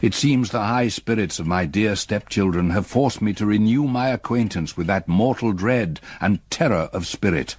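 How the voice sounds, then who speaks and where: Husky, low-voiced haunted English gentleman. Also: moderate pace, few pauses, no room sound. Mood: haunted dread. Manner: formal, hesitant, grave. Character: haunted, reserved English gentleman stepfather, secretly dreading. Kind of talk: radio drama acting.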